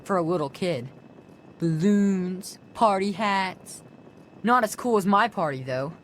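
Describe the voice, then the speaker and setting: A woman's voice: medium pitch, lively intonation, unhurried, a little hiss or hum, close to an everyday voice. Woman as a smug, snide young boy. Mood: smug, mocking. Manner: grudging, boastful, mocking. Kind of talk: video game acting.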